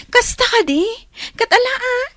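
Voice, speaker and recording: A woman's voice: extremely high pitch, animated, moderate pace, sharp tone, dry recording, studio quality, exaggerated voice. An actress playing a curious, chatty female friend in an Ilocano radio drama.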